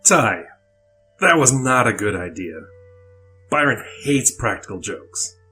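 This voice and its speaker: A man's voice: mid-range voice, very animated, takes it slowly, pushed and bright, faint music behind, full character voice. A man playing a stern, exasperated brother in an audio story, scolding firmly. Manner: scolding, firm.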